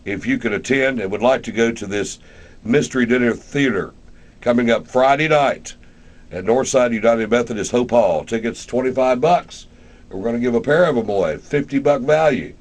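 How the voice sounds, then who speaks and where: A man's voice: medium pitch, moderate pace, darkish tone. An American man hosting a radio show, chatting informally as he interviews guests and promotes events, asking plenty of questions. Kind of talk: casual interview and on-air promotion. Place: radio station.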